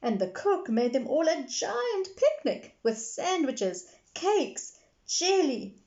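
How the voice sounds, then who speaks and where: A woman voicing an eager, cheeky little girl pig who pleads and interrupts excitedly, in a children's storybook. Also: high voice, takes it slowly, highs cut off, pitched above the performer's own voice, heavily acted.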